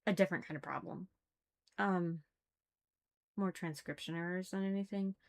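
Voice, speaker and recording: A woman giving casual, critical commentary, thinking aloud haltingly and repeating phrases for emphasis. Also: lowish voice, steady tone. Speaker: reader commenting on a religious text.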